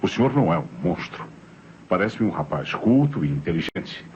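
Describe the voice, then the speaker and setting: A man's voice: sits low, very animated. A man with a Brazilian accent acting a shrewd police inspector in an old radio crime drama, formal and courteous as he probes. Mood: smoothly insinuating. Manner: formal, probing, deliberately courteous. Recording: dull, noisy old radio drama recording.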